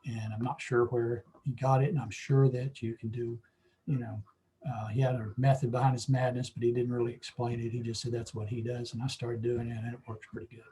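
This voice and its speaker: A hoarse man teaching a photo-editing lesson, explaining at an easy pace with lots of fillers. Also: medium pitch, a little fast, slight background noise, low-quality recording. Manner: relaxed, explanatory, with frequent fillers. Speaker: photography club instructor. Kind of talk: screen-share software tutorial.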